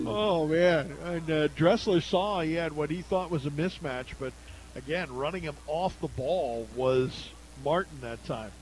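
Casting note: high-pitched male play-by-play announcer, brisk game calling, local radio broadcast. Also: rough recording.

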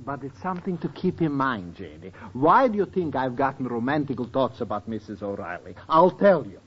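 An actor playing a wry, teasing older professor in an old radio sitcom, with theatrical joke-telling and odd turns of phrase. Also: male voice, mid-range voice, very animated, fairly quick, breathy edge. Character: wry, teasing older professor, grumbling fondly about women. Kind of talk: 1940s-50s radio sitcom acting. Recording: dull old-time radio recording.

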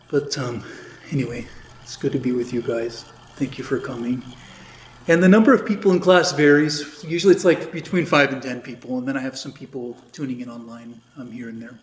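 A man, a priest recovering from illness, teaching a catechism class in a conversational way, his voice slightly raspy and a little weak. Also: mid-range voice, slight background noise. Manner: conversational, with many fillers and restarts. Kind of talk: informal religious teaching. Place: church classroom. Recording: echoey room.

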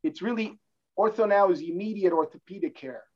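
A man's voice: sits high, a little fast, darkish tone, dull audio. A middle-aged man from New Jersey, an orthopedic hand surgeon talking as a podcast guest, explaining at length with frequent restarts. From New Jersey, born in Cuba. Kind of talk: casual interview talk. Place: office in his medical building.

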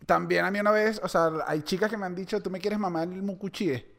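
A man's voice: high-pitched, fairly even intonation, brisk, creaky, fairly bright tone. A young Venezuelan man co-hosting a podcast, teasing his partner and laughing often in casual chat. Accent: Venezuelan Spanish. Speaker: podcast co-host.

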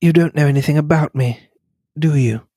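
A man reading the lines of a reserved young English wife, speaking quietly and in a measured way. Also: on the high side, breathy edge, dry recording, studio quality. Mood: thoughtful.

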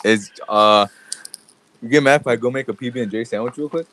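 A man's voice: mid-range voice, fairly quick, a bit bright, low-quality recording. A man co-hosting a podcast in casual chat, congested and laughing often as he jokes around. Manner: laughs often, loose and joking. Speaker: podcast co-host.

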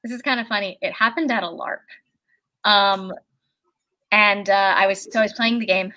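A person with a very high speaking voice, chatting casually as a guest at home, rambling and halting with lots of fillers and restarts. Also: female voice, fairly even intonation, pushed and bright, low-quality recording. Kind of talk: casual chat. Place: at home with a candle lit.

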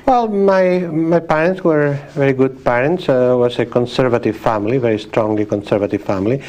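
A man in his sixties from Spain with a Spanish accent, talking as an interview guest, thoughtful, with frequent pauses and restarts. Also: mid-range voice, darkish tone. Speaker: guest, sociologist. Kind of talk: interview conversation.

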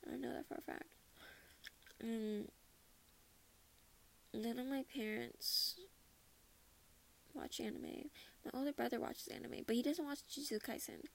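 A woman's voice: fairly high pitch, measured pace, vocal fry, pauses often. A teenage girl from Minnesota chatting casually about her month, rambling and laughing often. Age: teens. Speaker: podcast host. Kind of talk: casual personal chat.

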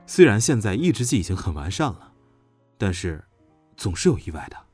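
An actor playing a young male general around thirty in a modern audio drama, familiar and musing, with a breathy voice. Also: lowish voice, big pitch swings, normal speed, darkish tone, no room sound, faint music behind. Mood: matter-of-fact.

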